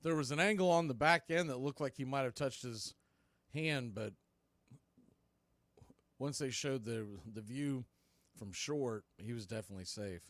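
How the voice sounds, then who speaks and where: A man's voice: medium pitch, normal speed, long pauses, fairly bright tone, dry recording. A man doing live baseball play-by-play in clipped phrases with conversational asides. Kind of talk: live sports commentary.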